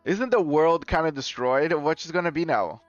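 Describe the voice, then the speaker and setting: A man's voice: on the high side, expressive, normal speed, no room sound. A man streaming live and chatting casually with his viewers, rambling and often correcting himself with a chuckle. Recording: dull lo-fi stream audio with faint music.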